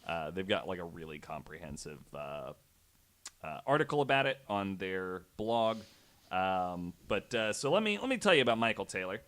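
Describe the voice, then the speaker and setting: Man, podcast host, lowish pitch, smooth clear tone, casual storyteller, halting, informal, sweary. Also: big pitch swings, a bit bright.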